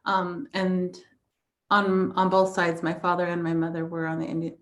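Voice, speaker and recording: A woman's voice: on the low side, monotone, moderate pace, a bit bright. An American woman in her forties from the Navajo Nation, talking reflectively about personal experience as a panelist. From Navajo Nation, southwestern United States.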